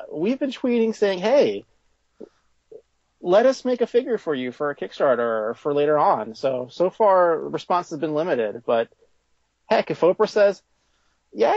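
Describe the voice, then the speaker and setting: A man's voice: high voice, darkish tone, no echo, highs cut off. Man chatting casually in an interview. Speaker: toy company founder being interviewed.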